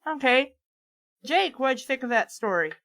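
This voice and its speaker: A woman's voice: high-pitched, animated, moderate pace, fairly bright tone. A woman hosting a casual group reading and discussion of fan fiction. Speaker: podcast host leading the discussion. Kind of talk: casual chat and read-aloud.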